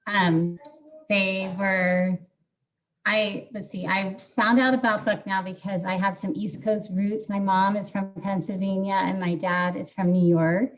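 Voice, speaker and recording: A woman in her forties from Southern California, speaking in a measured, thoughtful way with some hesitation as she recalls things. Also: mid-range voice, fairly even intonation, poor audio. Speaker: former college tennis player. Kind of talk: casual video call interview.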